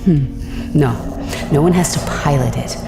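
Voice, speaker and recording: An actress playing a determined, anxious young spaceship captain in an audio drama, urgent and thinking aloud. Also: female voice, low voice, normal speed, creaky, reverberant, over music. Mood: urgent planning.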